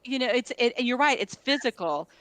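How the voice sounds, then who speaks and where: A woman's voice: fairly high pitch, animated, brisk, fairly bright tone, rough recording. A middle-aged woman, a guest musician, telling stories casually and filling her speech with "like". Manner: storytelling, filler-heavy, lots of "like". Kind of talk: casual conversation.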